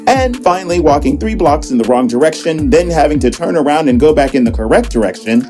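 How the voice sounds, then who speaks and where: A man's voice: fairly high pitch, lively intonation, brisk, a little mellow, soft background music, lightly acted. A man narrating a comedic audio drama as a wry storyteller.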